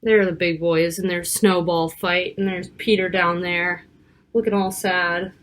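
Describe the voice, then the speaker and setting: A woman reading a picture book aloud to children, expressive, with casual asides about the pictures. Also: fairly low pitch, measured pace. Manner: child-directed, expressive, stretching key words and pointing out pictures.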